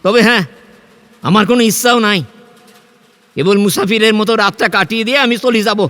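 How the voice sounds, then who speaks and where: An actor playing a penniless, wary, proud drifter in a Bengali audio play, speaking in a hesitant, defensive stammer. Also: male voice, high voice, fairly even intonation, a little fast, pushed and bright. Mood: embarrassed. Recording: faint background score behind the voice. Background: faint music.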